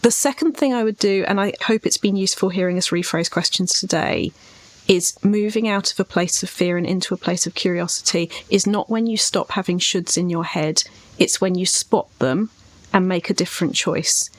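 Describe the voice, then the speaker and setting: A woman's voice: on the low side, normal speed. A woman speaking as a writing coach on a podcast, giving advice conversationally.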